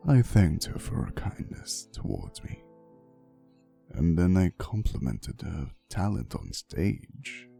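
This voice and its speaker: A man voicing a centuries-old, devoted vampire boyfriend in an intimate ASMR roleplay, with a gravelly voice and a soft, theatrical, old-fashioned way of telling his story. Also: huge pitch swings, slow, faint music behind, exaggerated voice. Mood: wistful, admiring. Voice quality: very deep, gravelly.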